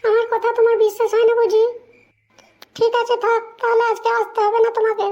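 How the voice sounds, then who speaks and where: A woman voicing a shrill, flirty, dissatisfied wife in a comedy cartoon, complaining and coquettish, on dull lo-fi audio. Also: flat delivery, brisk. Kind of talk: amateur comedy cartoon dialogue. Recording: dull lo-fi audio with faint noise.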